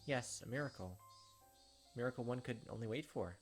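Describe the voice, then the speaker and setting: A man's voice: mid-range voice, a little fast. A man hosting a gaming video, reading a visual novel aloud and adding casual commentary over game music. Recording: music behind the voice.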